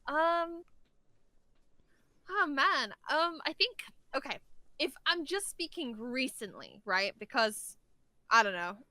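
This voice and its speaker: A woman's voice: sits high, lively intonation, takes it slowly, long pauses, pushed and bright, no room sound. A woman chatting casually as a podcast guest, playful and giggly, often rambling and hesitating as she works out what to say. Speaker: voice actor guest being interviewed.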